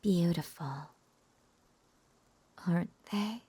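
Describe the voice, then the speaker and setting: A woman playing a lovesick, obsessive witch in an intimate roleplay audio drama, cooing in a breathy, husky half-whisper with lingering pauses. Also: fairly low pitch, dark tone, dry recording, full character voice. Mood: seductive, teasing.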